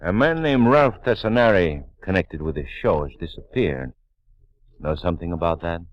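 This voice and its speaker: An actor playing a dry, sardonic police detective lieutenant in a 1940s radio drama, insinuating and deliberate. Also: male voice, sits low, expressive, dry recording. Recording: dull 1940s radio recording.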